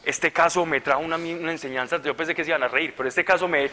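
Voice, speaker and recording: A man preacher giving an animated, conversational sermon full of stories at a retreat. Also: a little high, quick pace, big-room sound, a crowd in the background. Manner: animated, conversational, laughing at his own stories. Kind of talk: sermon with storytelling. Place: large hall at a retreat.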